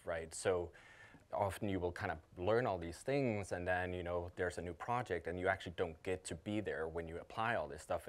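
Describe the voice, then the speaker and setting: A man in his thirties from Denmark, speaking English as a second language, gives a conference talk. His voice sits very low, and he talks in a loose, casual way, full of ums and self-corrections. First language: Danish. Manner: casual, many fillers and restarts. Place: conference hall.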